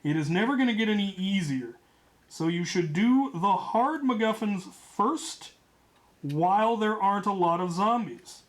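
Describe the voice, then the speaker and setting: A man telling a story in a casual, rambling way as host, leaning deliberately on his key points. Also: high-pitched, animated, fairly bright tone.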